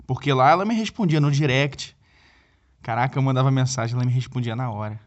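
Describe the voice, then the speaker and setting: A man's voice: mid-range voice, fast, lightly acted. Needy young Brazilian boyfriend, played by a male actor, hesitant and stammering, comedic audio drama, slightly dull recording. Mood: distressed. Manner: hesitant, stammering, repeats himself. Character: flustered, needy boyfriend pleading for help by phone. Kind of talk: comedic audio drama, one side of a phone call.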